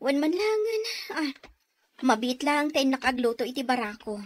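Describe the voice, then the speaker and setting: High-voiced village housewife, drowsy but hospitable, radio drama. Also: female voice, big pitch swings, moderate pace.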